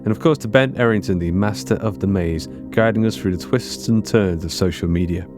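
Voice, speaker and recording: A podcast host reading the episode credits in a brisk, casual, upbeat way. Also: male voice, on the low side. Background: music.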